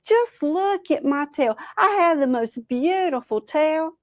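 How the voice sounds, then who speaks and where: A vain male possum from an animal folktale, played by a woman storyteller. The voice is pitched up and full of self-admiration, bragging in an excitable way. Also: slow pace, no echo, clean studio sound. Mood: boastful.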